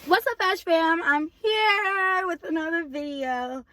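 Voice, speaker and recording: A woman vlogger, slightly hoarse, chatting casually and directly to her viewers. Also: very high voice, slow, noisy background.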